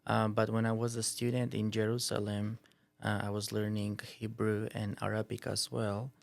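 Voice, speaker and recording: Low gravelly man, flat delivery. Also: normal speed, dry recording. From Armenia. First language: Armenian. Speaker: ELD head teacher. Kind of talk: casual podcast chat.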